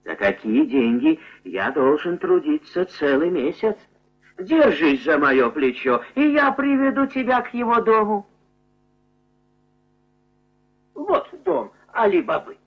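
A man's voice: high-pitched, big pitch swings, unhurried, slightly rough, voice pushed up, full character voice. A man voicing a poor, sly, eager cobbler in a puppet cartoon, exclamatory and singsong. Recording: dull old film soundtrack.

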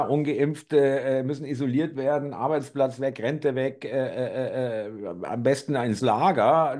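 A man's voice: medium pitch, darkish tone. A middle-aged man in casual conversation, speaking hesitantly with many filler sounds, heard over a video call webcam. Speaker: talk show co-host.

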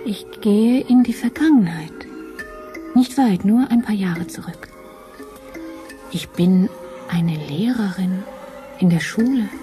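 An actress playing a dreamy, devoted woman time traveller in an intimate radio drama, hushed and breathy. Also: medium pitch, expressive, slow pace, long pauses, soft background music.

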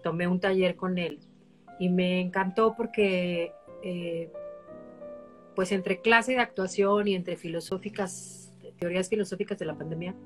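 Woman host, low-ish voice, chatty and animated, faint music under. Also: measured pace. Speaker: interviewer host. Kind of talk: casual live-stream conversation. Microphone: online live-stream.

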